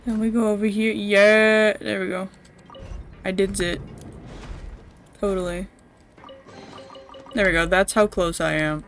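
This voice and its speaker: Female streamer, young adult: medium-pitched, slangy, blunt, off-the-cuff game commentary with light background music. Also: fairly even intonation, unhurried, pauses often. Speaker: game livestreamer. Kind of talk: casual, rambling live game commentary.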